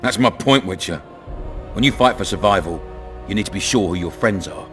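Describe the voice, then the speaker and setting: An actor with a British accent playing a crude, cunning, menacing town commandant in a video game. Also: male voice, low-pitched, brisk, fairly bright tone, soft background music. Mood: forceful, contemptuous. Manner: domineering, coarse, swaggering. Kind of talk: video game dramatic dialogue.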